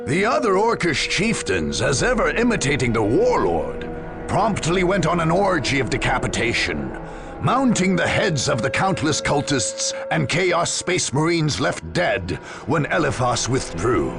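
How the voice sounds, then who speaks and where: Slow, gravelly male war chronicler. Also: a little high, very animated, music in the background, full character voice. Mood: grand, grim. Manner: solemn, theatrical, deliberate. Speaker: narrator. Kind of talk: dramatic scripted game narration.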